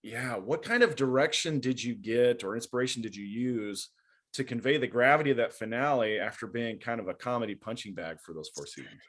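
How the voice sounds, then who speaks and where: A man's voice: mid-range voice, fairly quick, a bit bright. A young man hosting an interview, asking prepared questions in a friendly way. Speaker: interviewer, host.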